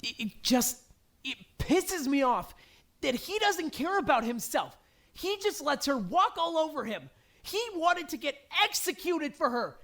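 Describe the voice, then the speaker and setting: A woman voicing a distraught, defensive teenage boy in an animated drama, stammering and emotionally raw. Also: extremely high pitch, exaggerated voice. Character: distraught, defensive teenage boy, guilt-ridden and foul-mouthed. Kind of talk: dramatic voice acting in a fan-made animation.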